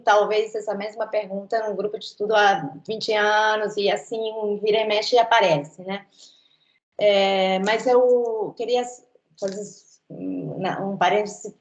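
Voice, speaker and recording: A woman answers on a video call in a mid-range voice, reflective and halting, often restarting her phrases. Her room rings with echo. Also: medium pitch. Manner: reflective, hesitant, many restarts. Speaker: invited guest artist. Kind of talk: online panel talk.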